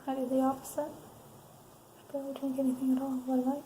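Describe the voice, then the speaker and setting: A woman doing a soft-spoken ASMR counselor roleplay, reading out assessment statements in an unhurried way with pauses. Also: high-pitched, monotone. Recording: roomy sound, faint background noise.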